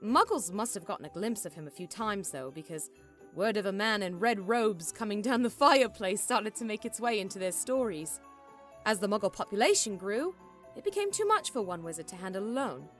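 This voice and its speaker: A woman playing a brisk, bossy young witch in a radio drama, explaining things emphatically. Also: mid-range voice, animated, fairly bright tone, soft background music, close to an everyday voice. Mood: explanatory.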